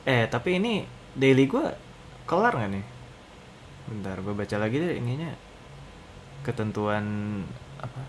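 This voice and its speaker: A man's voice: medium pitch, big pitch swings, normal speed, long pauses, noisy background. A young man with a Jakarta Indonesian accent giving casual, joking, slang-filled reactions while playing a video game. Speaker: game streamer. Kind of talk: casual gameplay reaction commentary. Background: game music.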